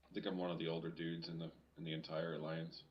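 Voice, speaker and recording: Late 30s guy on voice chat, super low voice, kinda hesitant, swears a bit, sound's a little muffled. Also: level tone, fast. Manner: hesitant, trailing off, casual swearing. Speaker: gamer in voice chat. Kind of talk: casual voice chat. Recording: muffled voice chat with faint hiss.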